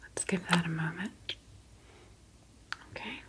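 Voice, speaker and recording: A woman in a soft ASMR roleplay, speaking in a breathy whisper as a gentle, reassuring clinical office worker. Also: on the low side, takes it slowly, slight background noise.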